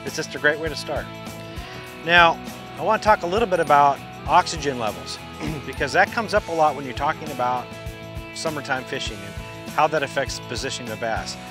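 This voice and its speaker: A middle-aged man giving practical fishing tips outdoors on a boat by a lake, explaining step by step with frequent restarts. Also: fairly high pitch. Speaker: fishing instructor. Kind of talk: outdoor instructional talk. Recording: music bed under the voice. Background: guitar music.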